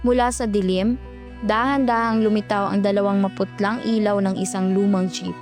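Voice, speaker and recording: A woman's voice: mid-range voice, steady tone, normal speed, over music. A woman with a Filipino accent reading scripted, dramatic horror narration.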